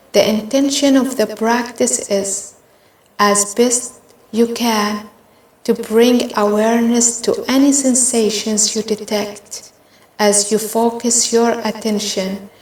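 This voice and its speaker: A woman narrating a guided meditation in a gentle, measured, instructional way. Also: fairly high pitch, steady tone. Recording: roomy, echoing voice recording.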